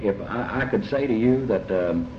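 A man with a Kentucky accent giving reflective, folksy interview answers as a novelist, halting and deliberate, often repeating his phrases. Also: fairly low pitch. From Kentucky, United States. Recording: muffled, noisy, echoey old tape.

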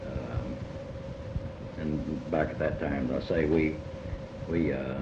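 A middle-aged man from eastern Kentucky with an Appalachian accent, reminiscing in an interview in a halting, reflective way with many pauses. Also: very deep voice, steady tone, gravelly. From eastern Kentucky, United States. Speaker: writer being interviewed. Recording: muffled, noisy, roomy amateur tape.

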